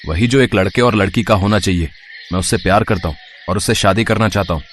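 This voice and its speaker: Man playing a defiant young son, lowish pitch, insistent, selfish, animated story, some background noise. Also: fast talker, lightly acted.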